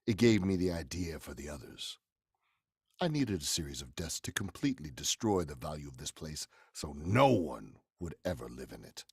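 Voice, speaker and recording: Man acting a deep, gravelly, menacing killer. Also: big pitch swings, normal speed, no room sound, studio quality, heavily acted. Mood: cold, menacing. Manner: theatrical, forceful. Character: menacing male murderer, commanding, coldly confessing. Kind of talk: 1940s radio horror acting.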